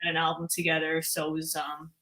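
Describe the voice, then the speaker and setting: A woman's voice: deep voice, completely flat delivery, fast talker, bright, forceful tone, low-quality recording. A woman with a Long Island accent, a guest musician chatting casually in a live-stream interview, often trailing off and laughing.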